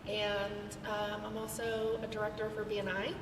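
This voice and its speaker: A woman's voice: medium pitch, completely flat delivery, moderate pace. An American woman speaking casually as a panelist at a live event. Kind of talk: casual self-introduction. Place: large event hall. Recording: echoey hall, distant, clear ambient noise.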